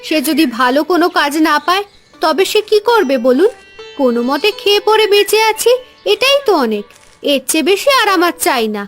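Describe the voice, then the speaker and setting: A patient young village mother in a cartoon, voiced by a woman, with a high, gentle voice. She speaks calmly and softly. Faint music plays underneath. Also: fairly quick. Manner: gentle, calm.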